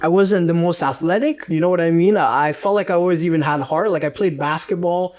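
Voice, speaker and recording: Rambling young man with a higher voice. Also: a little fast, a little mellow. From Uganda. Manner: rambling, with frequent fillers. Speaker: guest fighter and coach. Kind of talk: casual conversational interview.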